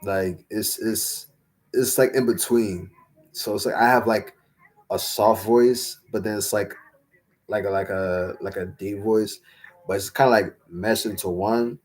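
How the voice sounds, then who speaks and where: A young man hosting a casual livestream Q&A, rambling with lots of fillers and slang, his voice a little raspy from talking all day. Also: lowish voice, steady tone, a little slow. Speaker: livestream host answering chat.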